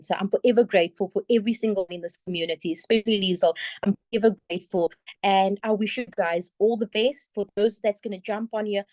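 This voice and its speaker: A woman's voice: mid-range voice, a little mellow, dry recording, rough recording. A woman with a South African accent giving an earnest, emphatic motivational testimonial, often checking in with her listeners. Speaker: community member giving a testimonial.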